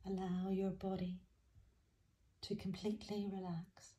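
Female meditation guide: dark, soft-toned and soothing, very slow delivery, gentle phrasing. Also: mid-range voice, level tone. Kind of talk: guided body scan meditation.

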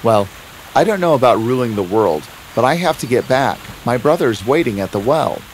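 A man voicing an earnest, kind-hearted young prince with animated delivery in a fairy-tale cartoon. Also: mid-range voice, normal speed, a little hiss or hum, close to an everyday voice, poor audio. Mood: puzzled, earnest. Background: prominent background music.

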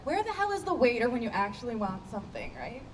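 A woman's voice: fairly high pitch, very animated, fast. Woman acting caustic, swooping-voiced skeptical wife. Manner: sardonic, blunt. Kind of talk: staged play reading. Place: small outdoor stage. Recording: outdoor stage, faint background noise.

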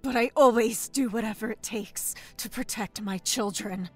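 A woman voicing a solemn, self-sacrificing mermaid queen and mother in a fan audio drama, with a husky voice. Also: a little high, normal speed, soft background music, lightly acted. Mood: solemn resolve.

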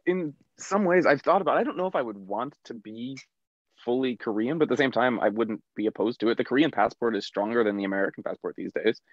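A man's voice: mid-range voice, fast talker, dry recording. A man in his thirties chatting casually as a podcast co-host on a video call, hesitant with many restarts. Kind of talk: casual chat. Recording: dull, dry home mic.

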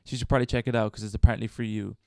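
Fast-talking man hosting a tutorial. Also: fairly low pitch, steady tone, creaky, mellow, clean tone, dry recording, clean studio sound. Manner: informal, chatty. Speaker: tutorial host. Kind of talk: casual step-by-step software tutorial.

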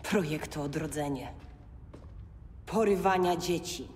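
A woman's voice: low-pitched, measured pace, darkish tone, slight background noise. A woman playing a grim, resolute veteran soldier and mother in a video game, speaking tersely and seriously. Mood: tense. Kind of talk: dramatic video game acting.

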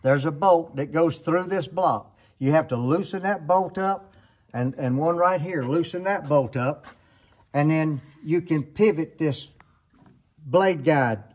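A man's voice: a little high, measured pace. An older man hosting a sawmill how-to show, talking in a folksy, rambling way and often backing up to correct himself, recorded on a handheld camera in an open barn workshop. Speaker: sawmill sharpening show host. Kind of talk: casual how-to demonstration.

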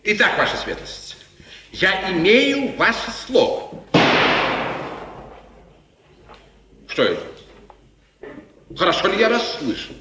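Swooping, scheming chamberlain. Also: male voice, high voice, exaggerated voice. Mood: sly, triumphant. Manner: theatrical, insinuating. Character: scheming, fawning male court chamberlain. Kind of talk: 1950s radio play acting. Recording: echoey hall, distant, noisy 1950s radio recording.

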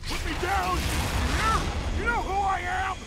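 A man's voice: very high voice, steady tone, normal speed, vocal fry, loud music behind the voice. A man voicing a self-important, agitated human official in a video game, pompous and indignant. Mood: panicked, indignant.

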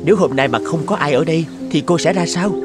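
A man's voice: fairly high pitch, fast talker, close to an everyday voice. A man voicing a kind, earnest young hero in a cartoon fairy tale. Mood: friendly. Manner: earnest, animated. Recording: loud music under the voice.

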